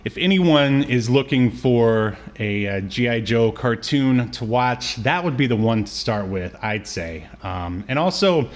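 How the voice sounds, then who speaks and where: Rambling man in casual podcast chat. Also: medium pitch. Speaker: podcast co-host. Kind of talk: casual chat about cartoons.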